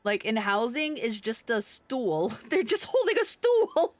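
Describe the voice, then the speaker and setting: A woman livestreaming a video game with casual, halting, informal commentary. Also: on the high side, sing-song, no room sound.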